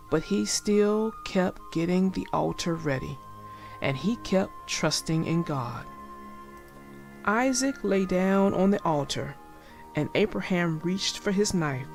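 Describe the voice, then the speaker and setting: Female read-aloud narrator for children's stories; unhurried, deliberate, faint music bed. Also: medium pitch, animated, creaky. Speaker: storybook narrator.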